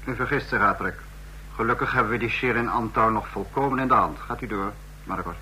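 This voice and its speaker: Bright-voiced, commanding regime leader. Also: male voice, fairly low pitch, fairly quick, rough recording. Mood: cool authority. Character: commanding, coolly correcting male leader of a regime meeting. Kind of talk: Dutch radio play acting. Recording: faint hum, old radio recording.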